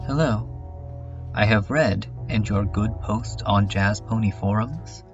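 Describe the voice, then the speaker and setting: A man's voice: lowish voice, slow pace, dull audio. A man voicing an uncanny, creepily polite stranger with stilted, formal, broken phrasing in a horror comedy dub. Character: uncanny stranger making polite, creepy body-swap offers. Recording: music under the voice.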